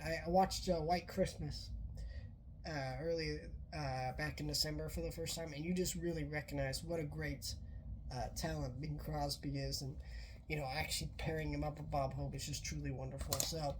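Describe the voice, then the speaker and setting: Woman, lower voice, sick and sounding off, casual film review, rambling with filler words, faint background hum. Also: a little mellow, poor audio. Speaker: movie reviewer.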